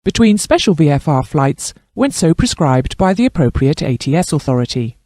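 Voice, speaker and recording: A man reading scripted instructional narration as a training narrator, in a formal, measured and precise manner. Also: fairly high pitch, very animated, a little mellow, no room sound, studio quality.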